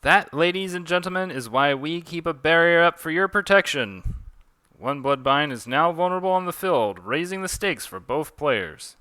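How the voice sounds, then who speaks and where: A man voicing an excited arena announcer at a magical duel, with showy, dramatic play-by-play. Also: a little high, normal speed, smooth, no room sound, pitched above the performer's own voice.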